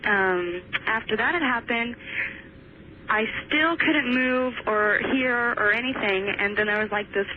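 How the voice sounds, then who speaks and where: A woman's voice: on the high side, unhurried. A young woman calling a radio show, casually recounting a personal experience in a tentative, hesitant way. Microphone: telephone. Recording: tinny phone line, faint noise.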